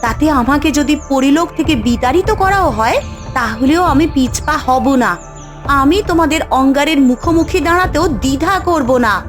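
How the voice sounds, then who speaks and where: A woman's voice: sits high, animated, normal speed, faint music behind. A woman playing a gracious, resolute, protective fairy mother, speaking earnestly in a fairy-tale cartoon. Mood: stern, defiant. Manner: earnest, declamatory.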